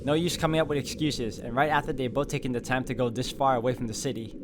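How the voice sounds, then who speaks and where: A man's voice: mid-range voice, steady tone, fast talker, noisy background. A man hosting a gameplay video, reading visual novel narration aloud as a story.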